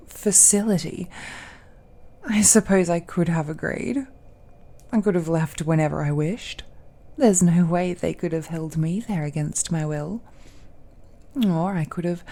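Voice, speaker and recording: A woman's voice: mid-range voice, dark tone. A woman voicing an ancient, possessive villainess in an intimate audio roleplay, teasing and condescending with smug, doting airs. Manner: languid, condescending, teasing, with sighs and hums.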